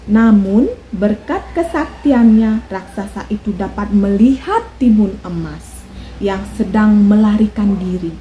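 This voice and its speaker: A woman telling a children's folk tale in a slow, expressive storytelling manner. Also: medium pitch, slight background noise, poor audio.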